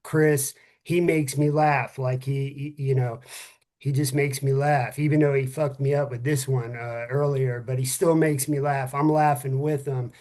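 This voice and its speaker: A man's voice: mid-range voice, steady tone, unhurried, a little mellow. A middle-aged man co-hosting a first responder podcast, talking earnestly and candidly with friends, casual and given to swearing. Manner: earnest, candid, salty-tongued. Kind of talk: casual heartfelt talk among friends.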